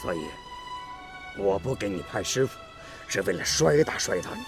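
A man acting a middle-aged factory team leader in a radio drama, gruff but caring, with a commanding, hearty, emphatic delivery. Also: on the low side, animated, moderate pace, soft background music. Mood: earnest.

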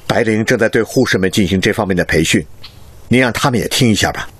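A calm expert professor in a radio drama. His voice is low and husky, recorded dry, and he gives measured, instructive cautions. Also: no room sound. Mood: earnest, cautioning. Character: calm, watchful male expert professor of epidemic medicine. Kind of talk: Chinese radio drama acting.

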